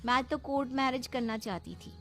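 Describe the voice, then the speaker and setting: A woman's voice: high voice, fairly even intonation, moderate pace, over music, natural voice. A woman voicing a haughty, impatient modern young daughter-in-law, bossy and dismissive, in a cartoon story. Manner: bossy, dismissive, mixes in English. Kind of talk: cartoon voice acting.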